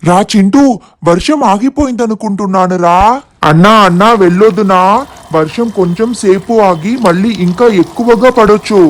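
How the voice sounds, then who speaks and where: A performer voicing an excitable young boy who calls out in exclamations in an animated children's story. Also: medium pitch, moderate pace, close to an everyday voice. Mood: alarmed, urging.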